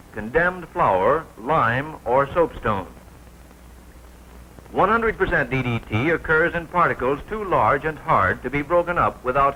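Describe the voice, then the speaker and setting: An American man narrating a 1940s military training film from a script, formal and precise, with clearly enunciated announcer delivery. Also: medium pitch, pushed and bright. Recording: noisy 1940s film soundtrack.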